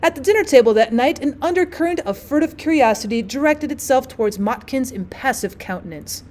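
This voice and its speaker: A woman's voice: high-pitched, lively intonation, brisk, darkish tone. A woman reading a classic short story aloud as scripted audiobook narration, like a teacher for her students.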